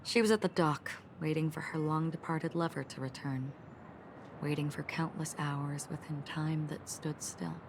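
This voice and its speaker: An actress voicing a calm, melancholy wandering swordswoman in a video game, telling stories in a measured, reflective way. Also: low-pitched, noisy background. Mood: wistful, reflective. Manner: measured, wistful, reflective storytelling. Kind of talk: scripted video game story dialogue. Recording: music behind the voice. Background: game background music.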